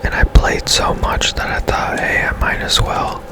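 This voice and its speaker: A man giving casual, hushed ASMR commentary over a video game in a breathy whisper, musing in an unhurried way. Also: rough recording. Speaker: game streamer, host.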